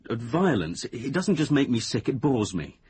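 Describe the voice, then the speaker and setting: An actor in a radio drama playing a sensible young man, a boyfriend with a breathy, husky voice and a conversational manner. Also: medium pitch, big pitch swings, normal speed, a little mellow, a little hiss or hum, lightly acted. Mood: dismayed. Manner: conversational, placating, turning exasperated.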